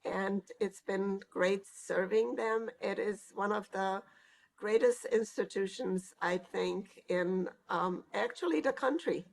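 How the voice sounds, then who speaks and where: Old lady, super slow, kinda gravelly, lots of ums and pauses, oral history interview. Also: medium pitch, low-quality recording. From Hungary. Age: older. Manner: slow, reflective, hesitant with pauses and fillers. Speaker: Holocaust survivor giving testimony.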